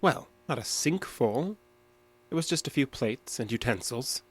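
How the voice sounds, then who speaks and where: Guarded, terse man with lively intonation. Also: medium pitch, measured pace, husky. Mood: guarded, defensive. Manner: terse, insistent, evasive. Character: guarded, stubborn male diner assistant manager under questioning. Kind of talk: audio drama acting.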